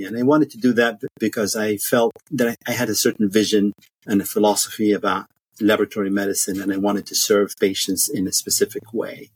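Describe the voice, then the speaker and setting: A middle-aged man from Egypt, an interview guest telling his career story in short, measured statements. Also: on the low side, breathy edge, no echo. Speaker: podcast guest, pathologist.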